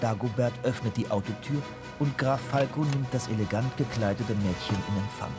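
A man's voice: medium pitch, moderate pace, over music. A man narrating a scripted children's audio story.

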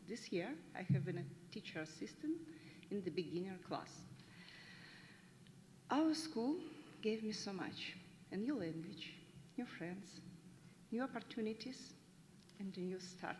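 A woman's voice: mid-range voice, expressive, a little slow, pauses often, a little mellow. A woman from Russia with a Russian accent, reading an earnest, heartfelt speech at a podium. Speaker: teacher assistant. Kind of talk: prepared graduation speech. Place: large school hall. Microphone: podium mic. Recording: reverberant hall, faint background noise.

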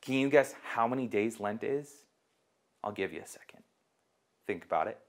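A man's voice: on the low side, expressive, normal speed. A man in his twenties giving a children's sermon to camera in simple, encouraging language. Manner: simple, encouraging, asks listeners questions. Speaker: children's ministry host and teacher.

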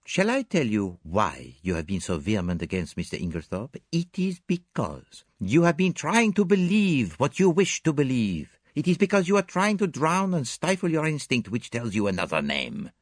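A man voicing a calm, shrewd male detective in a storybook reading, formal, measured and gently insistent. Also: mid-range voice, no echo, studio quality, voice pushed up. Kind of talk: audiobook character dialogue.

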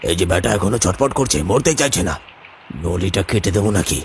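An actor playing a menacing, rough-spoken village henchman with a knife in an animated horror story. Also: sits low, brisk. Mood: callous. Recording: noisy, with ambient sound behind.

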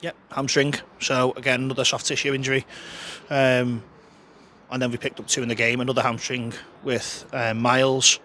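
A man, a football manager giving a frank, chatty post-match interview outdoors by the pitch into a handheld mic, often restarting his sentences. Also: level tone, moderate pace, bright, forceful tone, slight background noise. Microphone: handheld interviewer mic held close.

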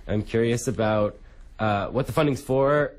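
A man's voice: medium pitch, normal speed. A young man co-hosting a radio show, asking rambling, informal interview questions. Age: young adult. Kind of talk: casual interview. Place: radio studio.

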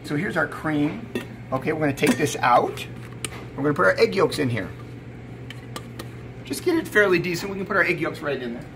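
High-pitched middle-aged man over kitchen noise. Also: lively intonation, a little fast, long pauses. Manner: chatty, emphatic, informal, often checking in with "okay?". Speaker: chef presenting a recipe video. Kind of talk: casual cooking demonstration. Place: indoor commercial kitchen or sandwich shop. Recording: clear background noise in working kitchen.

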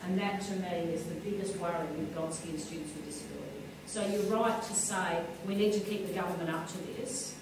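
A woman on a forum panel, speaking earnestly in a somewhat low voice. Her voice is picked up from far off in a large echoing hall, with noticeable room noise around it. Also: steady tone, brisk, hardly any pauses. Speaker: panelist education leader. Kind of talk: public forum answer. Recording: echoey hall, mic far off, noisy.

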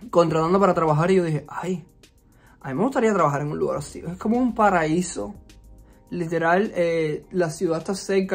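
A young man with a Cuban Spanish accent, chatting expressively and sassily in a casual storytime vlog. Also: high voice. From Cuba. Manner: chatty and expressive, frequent fillers, playful sass. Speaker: YouTuber. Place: resort vacation rental.

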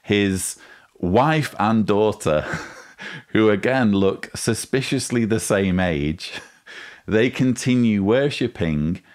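Casting: male commentator, forties, very deep voice, measured explainer delivery, close studio mic. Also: lively intonation. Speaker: host, commentator. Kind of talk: critical video commentary to camera. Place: small indoor room with bookshelves. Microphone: studio mic on boom arm, close.

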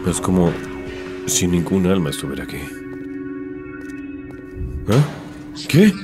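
A man with a Latin American Spanish accent voicing a hot-tempered but loyal robot soldier in an animated fan dub, dramatic and slangy. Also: low voice, slow pace, exaggerated voice. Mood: uneasy, subdued. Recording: music over the voice.

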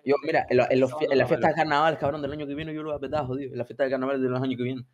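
A man's voice: medium pitch, fairly even intonation, racing, crowd noise. A young man from the Canary Islands with a Canarian accent, telling comic stories casually on a video call in a slangy, boastful, rambling way. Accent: Canarian Spanish. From Canary Islands, Spain. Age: young adult. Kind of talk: casual chat, comic storytelling. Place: indoor room, video call.

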